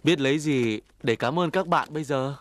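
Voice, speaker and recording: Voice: medium pitch, normal speed, dry recording, studio quality, lightly acted. An actor playing a sly, deceitful cartoon weasel who wheedles like a friend and boasts like a crook. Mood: friendly, grateful. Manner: wheedling, swings into boastful cackling. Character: sly deceitful weasel, fake-friendly, gloating when triumphant. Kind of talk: Vietnamese children's cartoon acting.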